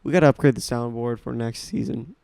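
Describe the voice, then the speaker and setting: A man's voice: medium pitch, brisk, vocal fry, dark tone, clear, resonant tone, no room sound. A man of nineteen hosting a podcast, talking casually and enthusiastically with frequent restarts. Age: young adult. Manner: rambling, enthusiastic, restarts often. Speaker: podcast host. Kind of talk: casual solo talk about music.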